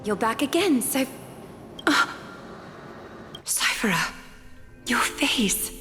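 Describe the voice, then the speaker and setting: A woman's voice: fairly high pitch, very animated, takes it slowly, over music. An actress playing a concerned, startled townswoman in a video game, speaking hesitantly. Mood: shocked concern.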